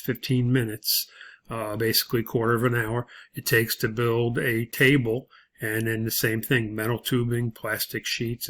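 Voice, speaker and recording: A man instructing through an unscripted spreadsheet walkthrough, explaining things in a halting, matter-of-fact way. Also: mid-range voice, fairly bright tone.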